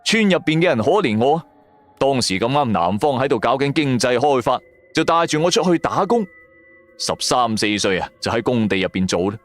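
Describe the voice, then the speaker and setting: A man with a Cantonese accent telling a scripted first-person story in a colloquial, expressive style. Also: medium pitch, moderate pace. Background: music.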